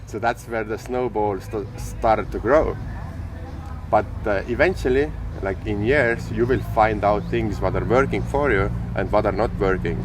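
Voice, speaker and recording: Low-voiced man on a noisy street. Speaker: podcast co-host, entrepreneur. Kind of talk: casual conversation. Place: outdoors in sunny city street. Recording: clear street and traffic noise.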